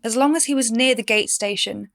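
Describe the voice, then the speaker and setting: An actress narrating a sci-fi audio drama as a composed archivist, in a documentary-like manner. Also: female voice, a little high, brisk, creaky, a bit bright. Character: composed female archivist presenting expedition records. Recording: prominent music under the voice. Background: music.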